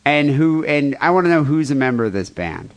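A man's voice: on the high side, animated, brisk. A man hosting a comedy podcast, chatting casually with crude jokes and reading out listener mail. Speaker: podcast host.

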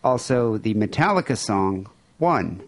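Brief: male podcast host, lively swinging pitch, dry close mic, offhand jokey delivery. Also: a little slow, no room sound. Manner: loose, joking, filler-heavy. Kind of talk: casual podcast hosting.

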